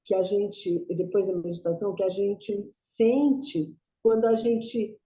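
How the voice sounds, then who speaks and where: Middle-aged woman, very dark mellow voice, rambling question, echoey dull audio. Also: medium pitch, a little slow. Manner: rambling, restarting sentences. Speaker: student asking a question. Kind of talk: casual group discussion.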